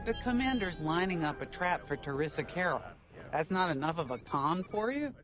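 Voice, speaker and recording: An easygoing starfighter squad leader from a video game. His voice sits a little high, wry and conversational, with music playing clearly behind it. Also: big pitch swings, normal speed. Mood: wry, amused.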